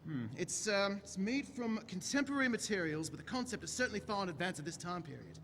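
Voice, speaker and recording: A man playing an eccentric, inquisitive time-traveling doctor in a staged audio drama, chatty and brisk with theatrical swings. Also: high voice, expressive, a bit bright. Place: large convention hall stage. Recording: echoey hall stage recording with noise.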